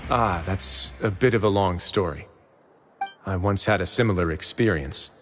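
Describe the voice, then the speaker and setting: A man playing a composed, dryly teasing authority figure in a video game, speaking in a measured, courteous way. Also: deep voice, fairly bright tone, faint music behind. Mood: somber. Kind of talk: scripted video game dialogue.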